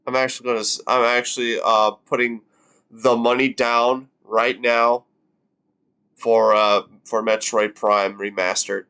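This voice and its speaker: A man's voice: medium pitch, flat delivery, measured pace, sharp tone, clear, resonant tone. A man in his twenties on a live stream, reacting casually and rambling with lots of fillers and the odd swear word. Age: 20s.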